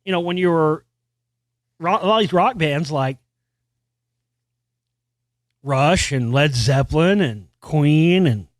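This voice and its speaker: Rambling podcast host, dry close sound. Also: male voice, animated, takes it slowly, pauses often, no room sound. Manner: rambling, with restarts and casual swearing; experienced singer with a high singing voice. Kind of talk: casual solo monologue about music.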